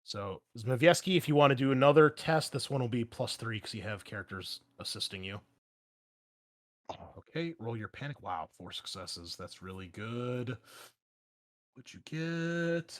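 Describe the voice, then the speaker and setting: A man's voice: medium pitch, lively intonation, a little slow, fairly bright tone. A man speaking as a game master, casually explaining rules and narrating the action with some swearing. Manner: casual, profane.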